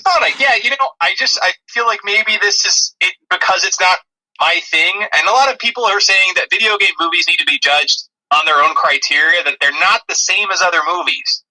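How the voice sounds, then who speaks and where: A man's voice: high-pitched, a little fast, pushed and bright, rough recording. A man co-hosting a podcast in a casual, conversational review style. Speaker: podcast co-host. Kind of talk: casual movie review chat.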